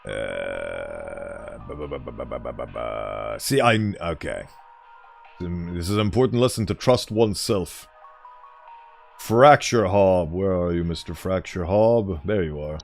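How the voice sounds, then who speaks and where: Man, streamer, smooth voice, playful casual commentary, lively pitch, faint music behind. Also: a little slow. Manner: playful, reads game text aloud in a mock-formal tone.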